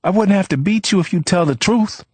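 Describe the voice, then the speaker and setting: A husky-voiced man playing a hot-tempered young man who taunts with coarse street talk in a storybook reading. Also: medium pitch, lively intonation, normal speed, a little mellow, no room sound, voice pushed up. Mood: cruel.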